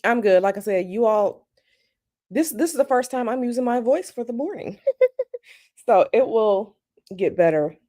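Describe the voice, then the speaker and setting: A woman with a slightly raspy morning voice, chatting casually with viewers on a live stream from home and greeting them by name. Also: on the high side, expressive, moderate pace, pauses often, dark tone. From United States. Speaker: tarot channel host. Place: at home, bedroom.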